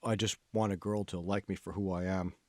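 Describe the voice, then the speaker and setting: A man's voice: sits low, level tone, brisk, a little mellow, no echo, studio quality, pitched below the performer's own voice, lightly acted. A man voicing a discouraged, self-pitying college computer whiz in an audiobook, moaning and reluctant. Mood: glum, defeated. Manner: moaning, glum, reluctant short answers. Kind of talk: audiobook character dialogue.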